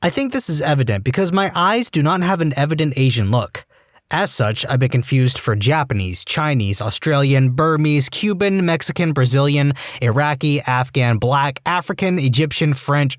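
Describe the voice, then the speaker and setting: A man narrating posts aloud in a lively, wry storytime style, close to the mic. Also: medium pitch, no echo.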